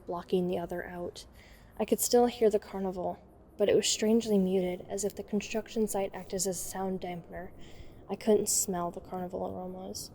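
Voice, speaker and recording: A woman playing an uneasy, introspective teenage girl who narrates an audio drama in a measured, reflective first person. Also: mid-range voice, mellow, slight background noise, close to an everyday voice.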